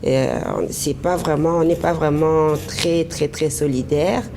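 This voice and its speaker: Low gravelly woman guest, hesitant. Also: fairly even intonation, unhurried, mellow. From Congo (DRC). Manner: hesitant, many fillers and restarts. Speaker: interview guest, business owner in humanitarian work. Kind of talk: casual interview talk. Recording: noisy TV interview recording.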